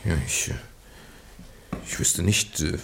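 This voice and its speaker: An actor playing an evasive, indignant young English aristocrat of about thirty in a German radio drama, haughty yet hesitant. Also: male voice, slow, sharp tone, a little hiss or hum. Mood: cornered, hesitant. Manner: haughty, hesitant, hedging with polite formality.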